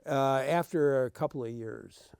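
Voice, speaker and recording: An older American man speaks in a warm, relaxed voice with a smooth, resonant finish. He is a long-time radio host reminiscing conversationally in a close, dry studio. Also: mid-range voice, unhurried, no echo. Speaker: veteran public radio host.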